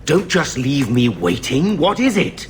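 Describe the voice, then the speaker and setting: A man's voice: mid-range voice, big pitch swings, unhurried, slight background noise. An actor playing an anxious, stubborn man in a fantasy game, worried and insistent. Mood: suspicious. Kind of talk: dramatic game acting.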